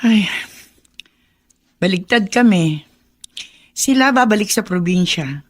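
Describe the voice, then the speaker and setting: A woman playing a plain-spoken, hard-luck mother from the provinces in a Filipino radio drama, frank and expressive. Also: mid-range voice, slow. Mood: interested.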